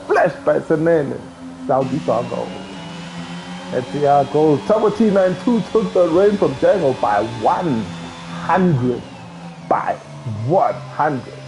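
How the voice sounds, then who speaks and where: A man's voice: a little high, slow, over music, low-quality recording. Man calling a sim race live. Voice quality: says he has a loud voice. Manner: excitable, chatty, talks to chat viewers. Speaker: sim racing commentator. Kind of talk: live stream race commentary. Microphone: home streaming mic.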